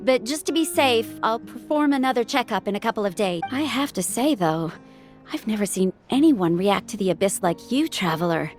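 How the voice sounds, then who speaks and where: A woman playing a brisk, kindly young healer in a video game, matter-of-fact and reassuring, with music behind her. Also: medium pitch, expressive, natural voice. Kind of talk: video game character acting.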